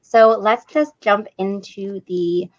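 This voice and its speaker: A woman's voice: medium pitch, takes it slowly. A woman hosting an online book panel, giving a casual introduction over a lo-fi microphone. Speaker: panel host, book reviewer. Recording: dull, lo-fi microphone sound.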